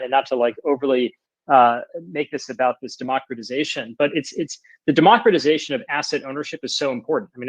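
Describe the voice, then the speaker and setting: A man's voice: medium pitch, no echo, rough recording. A man speaking as a guest in a panel discussion, explaining earnestly and often repeating words as he thinks. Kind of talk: panel discussion on an audio chat app.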